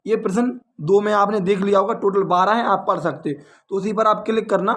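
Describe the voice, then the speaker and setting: A man with a distinctly high-pitched voice and a North Indian accent from Uttar Pradesh. He speaks like a coaching teacher walking students through an update informally. He often rephrases his points and throws in rhetorical questions. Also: fairly quick, a bit bright. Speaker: exam coaching teacher and channel host.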